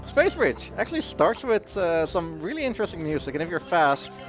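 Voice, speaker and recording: A man commentates live on his own video game speedrun in a casual, chatty way. His pitch moves around a lot as he breaks off and restarts his explanations. Game music plays softly behind him. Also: sing-song, fairly quick.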